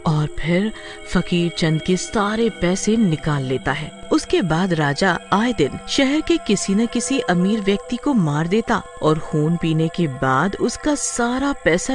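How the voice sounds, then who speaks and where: Woman narrating a story, dramatic, slightly low voice, very expressive, slow.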